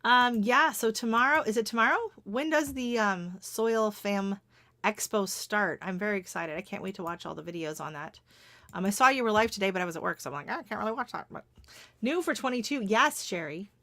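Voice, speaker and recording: A middle-aged Canadian woman hosting a casual livestream chat, laughing often and greeting viewers by name, her voice starting to go hoarse. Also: mid-range voice, fairly quick, bright, forceful tone, no room sound. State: voice going hoarse. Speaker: gardening channel host.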